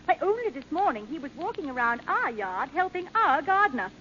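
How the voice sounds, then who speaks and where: An actress playing a gentle, refined, soothing wife in a 1940s radio comedy. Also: female voice, high-pitched, sing-song, fairly quick, full character voice. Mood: gently placating. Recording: muffled 1940s radio recording, faint noise.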